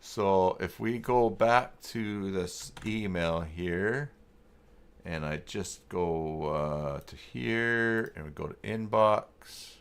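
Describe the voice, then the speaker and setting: Low-voiced man thinking aloud. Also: smooth. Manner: halting, muttering to himself while working. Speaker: live stream host giving web tutorials. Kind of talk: casual live tech walkthrough, thinking aloud.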